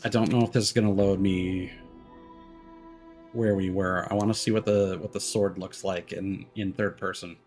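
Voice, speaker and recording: Man, game streamer, low voice, casual rambling commentary, thinking out loud, faint music behind. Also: fairly even intonation. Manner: rambling, thinking aloud, hesitant pauses. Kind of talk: casual live gameplay commentary.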